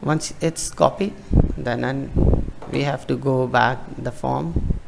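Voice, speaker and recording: Slow, gravelly man explaining hesitantly. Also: mid-range voice, fairly even intonation, very noisy. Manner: hesitant, halting, many fillers and restarts. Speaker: tutorial presenter. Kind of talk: screen-recorded form walkthrough.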